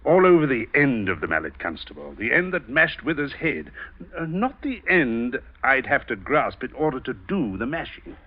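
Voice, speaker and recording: An actor playing a sly, mock-polite gentleman blackmailer with sardonic hesitations, in 1940s radio drama style. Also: mid-range voice, very animated, moderate pace, close to an everyday voice. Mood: insinuating. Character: sly, insinuating gentleman blackmailer, coolly taunting. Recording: muffled old radio recording.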